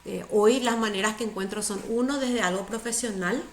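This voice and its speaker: A woman's voice: medium pitch, moderate pace, reverberant, slight background noise. A middle-aged woman, speaking as a guest in a conversational interview, earnest and emphatic, repeating words for stress. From Paraguay. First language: Spanish.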